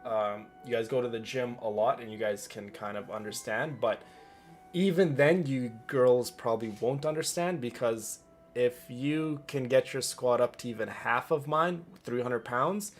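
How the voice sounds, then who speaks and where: A man's voice: mid-range voice, a little slow, soft background music. A man streaming casually, talking in a boastful, rambling and repetitive way to his audience. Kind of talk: casual livestream chat.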